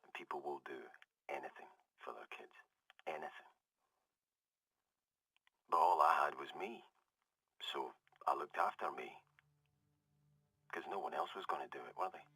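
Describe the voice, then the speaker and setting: An actor playing a hard-bitten Scottish ex-military survivor in a game audio log, talking in a wry, conversational, confessional way. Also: male voice, a bass voice, moderate pace, gravelly, pauses often, bright, forceful tone, slightly rough, exaggerated voice. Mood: grim, self-justifying. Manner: conversational, hesitant, darkly wry, trailing off. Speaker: security corporal.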